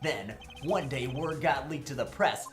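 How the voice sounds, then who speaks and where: A man voicing a brash, sarcastic, excitable young defense attorney with slangy, expressive delivery in a comedic game fan dub. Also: mid-range voice, a little slow, close to an everyday voice. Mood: accusing. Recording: roomy sound with faint music.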